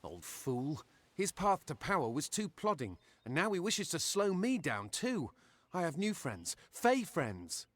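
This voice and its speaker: A man's voice: a little high, big pitch swings, measured pace, fairly bright tone, dry recording, full character voice. A man voicing a naive, boastful young man in a video game, speaking in an earnest, impulsive, self-important way. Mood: boastful, eager. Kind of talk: video game character acting.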